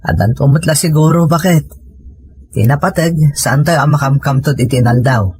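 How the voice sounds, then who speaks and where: A man's voice: on the high side, moderate pace, a little mellow, slightly rough, no echo. A man with a Filipino accent playing a tired working husband in an Ilocano audio drama. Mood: weary, earnest. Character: working husband, tired of family money strain.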